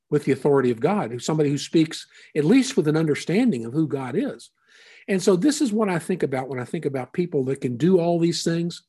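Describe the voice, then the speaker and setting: A man preaching a conversational sermon as a pastor, unhurried and thinking aloud with frequent asides. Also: on the high side, mellow, slightly rough.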